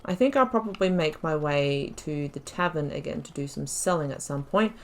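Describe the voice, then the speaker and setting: A woman's voice: low voice, moderate pace. Chatty woman commentating on a game. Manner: chatty, self-deprecating. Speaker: video game streamer. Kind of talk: casual gameplay commentary.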